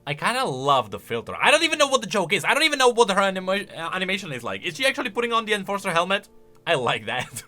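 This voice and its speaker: A man's voice: high voice, wildly swooping pitch, rapid-fire, sharp tone, faint music behind. A man giving casual, enthusiastic reaction commentary, explaining game lore as he goes.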